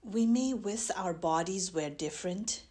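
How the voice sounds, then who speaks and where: A woman teaching mindfulness, guiding a meditation in a gentle, measured way with long pauses between phrases. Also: mid-range voice, animated. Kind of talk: guided meditation instruction.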